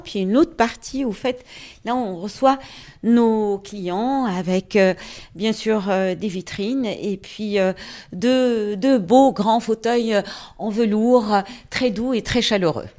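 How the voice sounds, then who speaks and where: Unhurried middle-aged woman from Morocco. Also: mid-range voice, mellow. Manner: measured and unhurried, pausing often to find words. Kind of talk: casual interview, explaining her trade.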